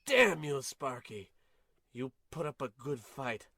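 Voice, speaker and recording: A man playing a defiant, hammy dueling captain in a comedy radio play, with grandiose drawn-out declaiming. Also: mid-range voice, very animated, creaky, bright, forceful tone, no room sound, clean studio sound, full character voice. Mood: vengeful, taunting.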